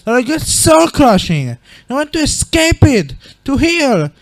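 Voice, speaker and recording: A man's voice: extremely high pitch, very animated, slow pace, no echo, pitched above the performer's own voice, full character voice. A man voicing an earnest, guilt-ridden teenage goat-monster son in a comic dub, with a casual, hesitant way of talking. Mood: anguished.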